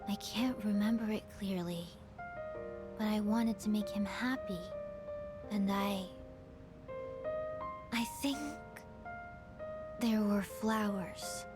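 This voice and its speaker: A woman's voice: mid-range voice, fairly even intonation, slow pace, long pauses, close to an everyday voice. An actress playing an aloof, prickly yet dreamy teenage girl in a video game drama, blunt and guarded. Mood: dreamy, searching. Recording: music over the voice.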